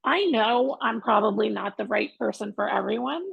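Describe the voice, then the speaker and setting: A woman with a naturally raspy voice, a wellness practitioner speaking candidly as a guest in a casual interview. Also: a little high, moderate pace, narrow, tinny audio. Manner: candid, forthright, speaks her mind.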